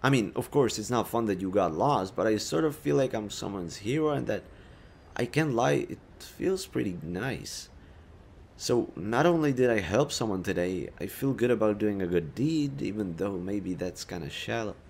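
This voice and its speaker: A man acts out a kind young male stranger in an intimate roleplay audio drama. His voice sits fairly low and moves around in pitch a lot. He talks casually and a little awkwardly, teasing as he helps. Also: animated, moderate pace, creaky, darkish tone, a little hiss or hum. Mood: sheepish, pleased. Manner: casual, playfully teasing, mildly awkward, swearing.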